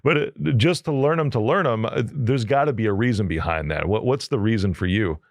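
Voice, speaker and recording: A man hosts a podcast interview, upbeat and encouraging toward his guest, laughing easily. His voice is on the lower side. The sound is close and dry. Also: a little fast, no room sound. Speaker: podcast host.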